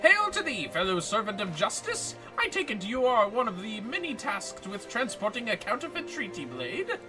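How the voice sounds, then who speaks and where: A man voicing a pompous, bombastic gentleman inspector from a video game, with grandiose, old-fashioned, theatrical diction. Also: high voice, huge pitch swings, moderate pace, over music, pitched above the performer's own voice. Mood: exuberant, grand. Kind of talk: video game dialogue read aloud.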